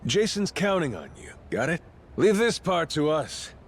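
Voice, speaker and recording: An actor playing a rough, cocky but loyal pirate captain with swaggering, slangy tough talk in a video game. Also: male voice, fairly high pitch, sing-song, measured pace, heavily acted. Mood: defiant, determined. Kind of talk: video game cutscene acting.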